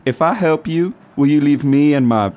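A man's voice: fairly high pitch, moderate pace, darkish tone, muffled recording, close to an everyday voice. A man playing a shady, nervous reindeer bar owner turned informant in a comedic noir radio play, talking in streetwise gangster slang. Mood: grudging, wary.

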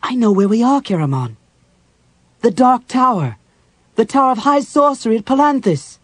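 A woman's voice: medium pitch, expressive, unhurried, a little mellow, breathy edge, no room sound, voice pushed up. A performer voicing an earnest, devout young woman cleric, breathless and agitated, in a dramatic fantasy audiobook. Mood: anxious excitement.

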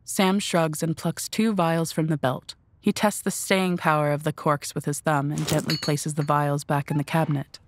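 A woman's voice: sits low, level tone, normal speed, hardly any pauses. A woman reading scripted narration for an audio drama.

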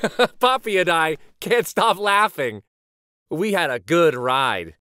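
A middle-aged man reads a picture book aloud as host, in an expressive, dramatic manner. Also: on the high side, slow, clean tone, no room sound. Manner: animated, dramatic. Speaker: children's storybook reader and show host.

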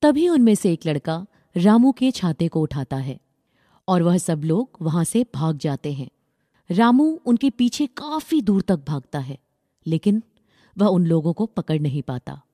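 A woman narrates a children's tale in a lowish, mellow voice. Her pitch moves in lively swings as she tells the scripted story. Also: a little slow, dry recording, studio quality.

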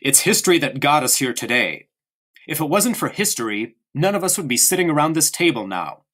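A man's voice: on the high side, very animated, brisk, a bit bright. A man voicing a stern military father who lectures his son at the table.

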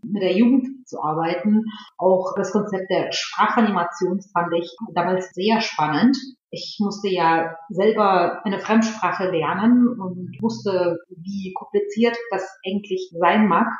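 A woman from Russia, a native Russian speaker, calmly answering interview questions. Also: on the low side. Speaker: guest. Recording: very echoey, dull sound.

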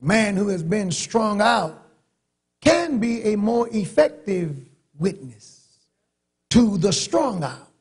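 A middle-aged man from North Carolina with a Southern accent, preaching a sermon from a church pulpit with long pauses and rising emphasis. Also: sits high, darkish tone, breathy edge. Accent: Southern American. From North Carolina, USA. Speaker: pastor. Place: large church sanctuary, at a pulpit. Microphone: pulpit microphone.

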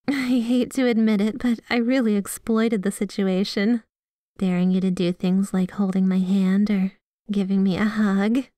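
A woman's voice: mid-range voice, little pitch movement, a little slow, mellow, no room sound, studio quality, natural voice. A woman playing a sweet, devoted, jealous yandere girlfriend in an ASMR roleplay, speaking with affectionate, playfully possessive manner. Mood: fondly nostalgic. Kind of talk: ASMR roleplay, scripted one-sided conversation.

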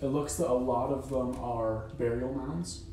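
A man running a tabletop game, describing the scene in an unhurried way around a table in a dining room. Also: medium pitch, fairly even intonation, recorded from a distance. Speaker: game master. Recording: echoey room, from afar, faint noise.

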